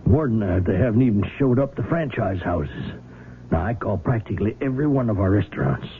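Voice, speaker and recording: An actor playing a worried, middle-aged small-town father, hesitant and halting, in a 1970s radio drama. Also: fairly low pitch, animated, natural voice. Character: worried small-town father, reluctant, steady family man. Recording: narrow noisy vintage radio broadcast.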